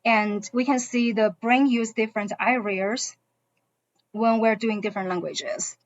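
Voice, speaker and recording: A woman from China, a native Mandarin speaker with a Mandarin accent, explaining a school program to parents as a teacher in halting English. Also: on the high side, fairly even intonation, slight background noise. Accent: Mandarin Chinese. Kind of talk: informational presentation to parents. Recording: dull, muffled online-session audio.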